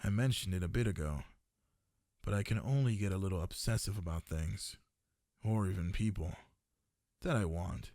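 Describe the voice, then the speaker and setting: A man's voice: deep voice, moderate pace, long pauses, warm tone, no echo. A man playing a smitten, possessive part-dragon librarian in an ASMR boyfriend roleplay, speaking intimately and tenderly to one listener. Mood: chatty, self-assured.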